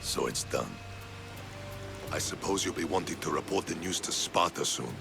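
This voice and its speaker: Stern spartan general in a video game, low gravelly voice, music under it. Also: male voice, moderate pace, full character voice. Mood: sombre. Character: stern, honourable male Spartan military commander. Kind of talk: dramatic video game dialogue. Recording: game soundtrack music behind the voice.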